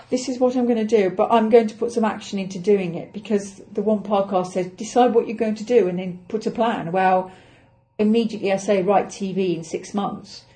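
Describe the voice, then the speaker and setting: A woman's voice: medium pitch, fairly even intonation, normal speed, dark tone, rough recording. A middle-aged English woman, an actress, talking through her week on a casual solo podcast in a chatty, self-deprecating way, thinking aloud as she goes. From England.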